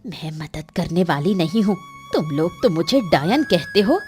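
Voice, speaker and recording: A woman's voice: lowish voice, expressive, moderate pace, darkish tone, faint music behind, close to an everyday voice. A woman voicing a bitter, grieving old village woman shunned as a witch, in a melodramatic cartoon story with an aggrieved, emphatic delivery. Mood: bitter, hurt.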